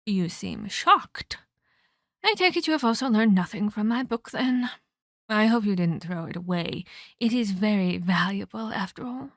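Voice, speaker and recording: A woman's voice: medium pitch, expressive, moderate pace, dry recording, clean studio sound, lightly acted. A woman playing a sadistic, scheming, centuries-old female vampire in an ASMR roleplay, haughty and taunting, with formal, scolding phrasing. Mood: gleeful taunting.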